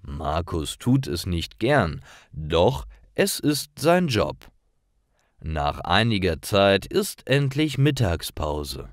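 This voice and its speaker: A man reading scripted stories for language learners in a careful, clearly phrased storytelling manner. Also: low voice, no room sound, clean studio sound. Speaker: narrator of German learner stories.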